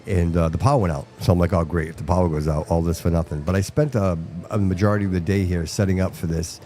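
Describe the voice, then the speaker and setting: A man whose first language is Portuguese hosts a live broadcast from a restaurant venue, talking with a low, dark, mellow voice. He rambles in an animated way and repeats phrases as he chats. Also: fast, a little hiss or hum. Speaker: host. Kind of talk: casual live-stream chat. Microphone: headphones with mic.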